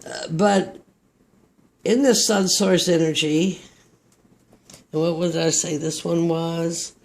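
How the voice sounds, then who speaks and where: Slow, gravelly Texan man reading tarot. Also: fairly high pitch, steady tone. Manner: slow, meandering, reflective delivery. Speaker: tarot reader.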